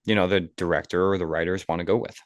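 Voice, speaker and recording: A man's voice: sits low, steady tone, fast talker, no room sound, studio quality. A man talking casually about entertainment on a podcast, in a conversational co-host manner. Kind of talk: casual film discussion chat.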